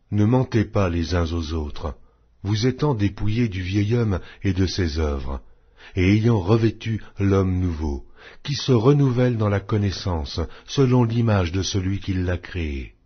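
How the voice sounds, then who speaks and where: A man narrating a Bible audiobook in a solemn, scripted, measured and declamatory way. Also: sits low, slightly rough. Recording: dull, muffled lo-fi audio.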